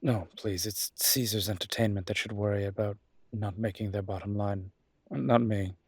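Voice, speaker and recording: Man playing a low, husky, charming young mafia boss. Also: fairly even intonation, moderate pace, no room sound. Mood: wry, fond. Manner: playful, smooth-talking, teasing. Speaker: voice actor in a roleplay audio. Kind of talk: intimate audio roleplay acting.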